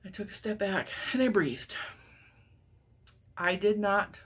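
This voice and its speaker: A woman's voice: mid-range voice, slow, breathy edge. A woman in her forties hosting her crochet channel in casual chat, wheezy and congested, talking with lots of laughs. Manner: chatty, laughs often, animated hand gestures. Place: home craft room lined with yarn shelves.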